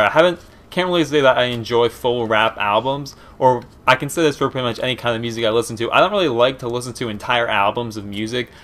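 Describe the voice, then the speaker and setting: A man's voice: medium pitch, a bit bright. A young man in his late teens with a Philadelphia and Eastern Pennsylvania accent, chatting casually through a Q and A over gameplay, rambling and easygoing with many fillers. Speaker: gaming commentator. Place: small room at home, indoors.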